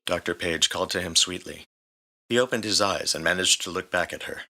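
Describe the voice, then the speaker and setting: A man's voice: low-pitched, fairly quick, pushed and bright. A man reading scripted story narration as the narrator. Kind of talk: scripted fan fiction narration.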